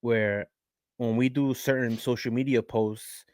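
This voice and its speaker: A man from Queens with a New York accent, co-hosting a casual sports podcast in an animated, slangy way, often repeating phrases for emphasis. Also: mid-range voice, unhurried, clean tone, no echo, clean studio sound. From Queens, New York City. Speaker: podcast co-host.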